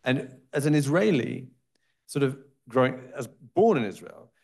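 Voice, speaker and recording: A man's voice: medium pitch, animated, normal speed, gravelly, a little mellow, breathy edge. A man moderating a panel discussion, asking loose, rambling questions with asides.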